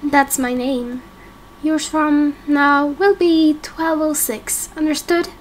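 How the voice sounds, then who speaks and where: Woman acting a calm, slow, regretful villainess. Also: high-pitched, slight background noise. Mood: cold, regretful. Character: calm, regretful female captor devoted to science. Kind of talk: amateur game roleplay acting.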